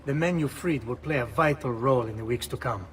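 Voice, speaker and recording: A man playing a brisk, streetwise thief in a video game. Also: medium pitch, a little hiss or hum, natural voice. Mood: instructive. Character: brisk, streetwise Italian thief giving orders. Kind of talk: video game cutscene acting.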